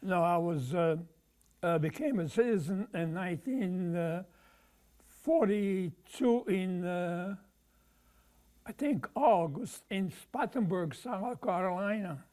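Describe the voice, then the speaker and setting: A man speaks in an oral history interview. His voice sits high for a man, and he talks haltingly, with many pauses and fillers. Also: expressive, mellow. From Croatia. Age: 90s. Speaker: veteran being interviewed.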